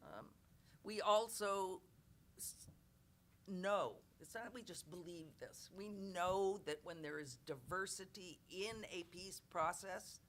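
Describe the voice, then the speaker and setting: Bright, blunt speaker at a press podium. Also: female voice, on the low side, takes it slowly. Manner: blunt, emphatic, self-deprecating asides. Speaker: panelist at a press conference. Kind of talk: unscripted press conference remarks.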